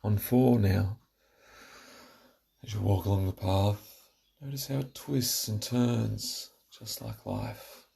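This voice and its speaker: Male relaxation narrator, lowish and extremely gravelly, giving soothing, measured instructions from a script. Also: steady tone, dark tone. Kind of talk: scripted guided relaxation meditation.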